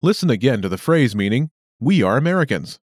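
A man hosting a scripted language lesson with clear, upbeat announcer delivery. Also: mid-range voice, sing-song, a little fast, no room sound, studio quality. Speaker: lesson host.

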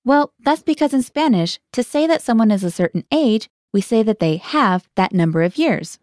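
A woman's voice: a little high, lively intonation, moderate pace, darkish tone, dry recording, studio quality. A woman hosting a scripted language lesson, guiding the learner and translating each phrase into English.

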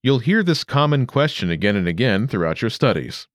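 A male narrator walks a listener through a language lesson in a clear, measured teacher's delivery. His pitch swings widely and expressively from phrase to phrase. The recording is dry and close, with no room sound. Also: very animated, dry recording. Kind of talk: scripted instructional narration.

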